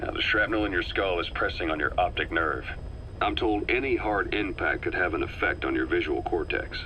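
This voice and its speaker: An actor playing a calm, middle-aged spy and military advisor in a video game, speaking in a measured, knowing way with deliberate pauses. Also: male voice, low voice, slight background noise, rough recording. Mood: attentive, matter-of-fact. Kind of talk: dramatic scripted briefing and dialogue in a video game.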